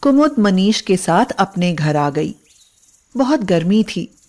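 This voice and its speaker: Slow-paced woman narrating a story. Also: medium pitch, big pitch swings, a little mellow. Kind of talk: scripted moral-story narration.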